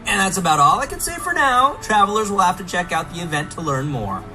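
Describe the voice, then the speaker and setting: A man's voice: high-pitched, sing-song, quick pace. A man giving a semi-scripted promotional game presentation in a playful, theatrically enthusiastic manner. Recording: music behind, played within a reaction video. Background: clear music.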